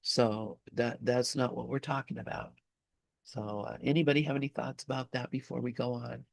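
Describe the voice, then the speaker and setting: A man speaks slowly in a medium-pitched voice with a gravelly creak, as if teaching a small study group. His delivery is conversational and halting, with pauses to search for the next word. The sound is close and dry. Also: fairly even intonation, dry recording. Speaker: spiritual study group leader. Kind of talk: informal teaching talk.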